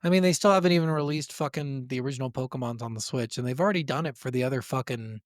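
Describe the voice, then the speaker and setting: A man with a medium-pitched voice chats casually as a podcast host. He is blunt and opinionated and peppers his talk with swearing. The sound is dry, with little room echo. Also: rapid-fire. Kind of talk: casual chat about video games.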